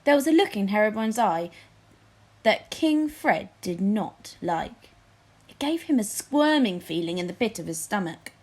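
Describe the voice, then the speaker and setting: Animated woman reading a children's story. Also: mid-range voice, measured pace. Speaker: storybook reader, teacher. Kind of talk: expressive children's story narration.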